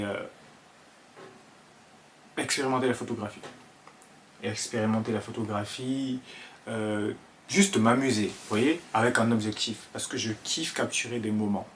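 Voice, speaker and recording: A man in his twenties from Ivory Coast with an Ivorian French accent, talking to camera in an earnest, halting way full of fillers. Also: fairly low pitch, a little hiss or hum. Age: 20s. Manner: hesitant, many fillers and restarts, earnest. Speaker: vlogger. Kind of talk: casual talk to camera.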